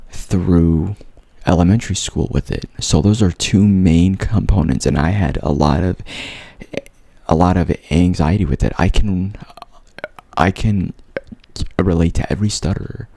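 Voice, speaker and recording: Young guy with a stutter, really deep and a bit gravelly, talking openly about his life. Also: mellow, dry recording. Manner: stutters, repeats sounds and words; earnest, open. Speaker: vlogger. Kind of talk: personal storytelling to camera.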